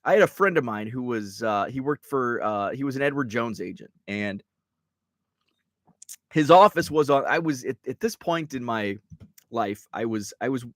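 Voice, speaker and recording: A man's voice: mid-range voice, expressive, normal speed, fairly bright tone. A middle-aged male host chats loosely on his show, sounding tired and a little stuffed up. His voice swings up and down a lot as he rambles through restarts and tangents. The sound is dry and close, with no room echo. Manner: rambling and chatty, with many restarts and asides. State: tired and congested. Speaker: host of a card-collecting show. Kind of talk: casual live-show chat. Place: card room.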